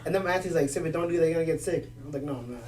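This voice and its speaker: A man's voice: on the high side, rapid-fire, a little mellow, slight background noise. A young man in his twenties from Ethiopia, a guest telling stories in a casual podcast chat. He laughs often and repeats words as he gets going. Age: 20s. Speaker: guest, resident assistant. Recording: voice a bit far from the mic.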